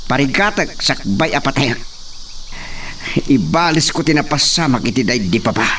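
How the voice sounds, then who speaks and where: A man's voice: mid-range voice, animated, normal speed, a bit bright, slight background noise, lightly acted. A man with an Ilocano accent acting in a radio drama about a rural family. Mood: vengeful.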